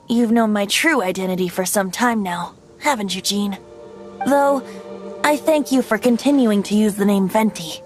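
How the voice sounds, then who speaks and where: A woman voicing a youthful male wandering bard in an anime-style game, gentle, teasing and theatrical. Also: mid-range voice, unhurried, faint music behind, close to an everyday voice. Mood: wry.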